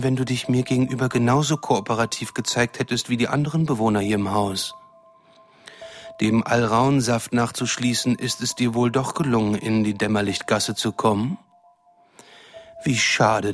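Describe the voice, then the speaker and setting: An actor playing a cruel, condescending shapeshifter villain in a radio drama, taunting and threatening in a smooth-talking way. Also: male voice, medium pitch, steady tone, normal speed, soft background music, natural voice. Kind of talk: German radio drama acting.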